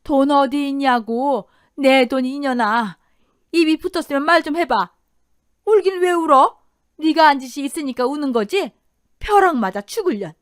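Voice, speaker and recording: Woman as cruel older mother-in-law, audio drama, furious, sneering, accusing, high swooping voice. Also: lively intonation, normal speed, dry recording, clean studio sound. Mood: furious, abusive.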